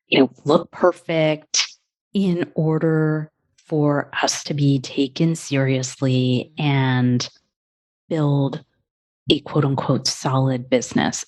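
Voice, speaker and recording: A woman guest on a coaching podcast, reflecting on her work in measured, thoughtful phrases broken into short chunks. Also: sits low, a little mellow. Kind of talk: reflective interview conversation.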